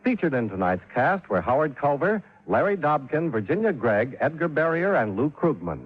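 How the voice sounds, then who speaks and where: Animated male old-time radio announcer. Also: on the high side, normal speed, husky. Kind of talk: 1950s radio credit announcement. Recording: tinny old radio recording. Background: faint music.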